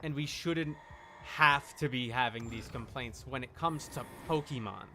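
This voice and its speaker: A man's voice: mid-range voice, a little slow, pushed and bright, slight background noise. A man livestreaming a video game, chatting casually in an opinionated, emphatic, argumentative way. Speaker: streamer.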